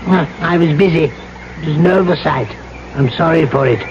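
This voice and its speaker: An actor playing a duplicitous colonial trader in an old radio drama, clubby and falsely apologetic in a deliberate manner. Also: male voice, on the high side, lightly acted. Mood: falsely regretful. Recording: muffled old radio broadcast, faint noise.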